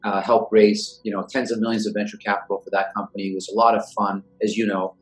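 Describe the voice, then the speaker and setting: A man in his forties, originally from Iran, answering interview questions in a measured, thoughtful way with many fillers. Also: on the low side, flat delivery, soft background music, poor audio. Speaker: guest, venture investor.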